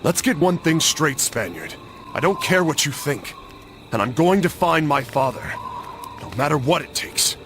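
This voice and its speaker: A man's voice: fairly high pitch, very animated, normal speed, fairly bright tone, soft background music. An actor playing an earnest, stubborn young crusader nobleman in a video game, serious and resolute. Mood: determined. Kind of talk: video game cutscene acting.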